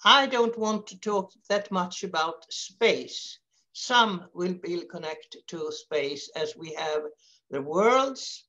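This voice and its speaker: Older Swedish-born woman, slow and emphatic over a muffled headset call line. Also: lowish voice, animated, pushed and bright. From Sweden. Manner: slow, emphatic, wandering phrasing. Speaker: webinar speaker. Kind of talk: unscripted talk on video call. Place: home room, indoors. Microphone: headset on video call.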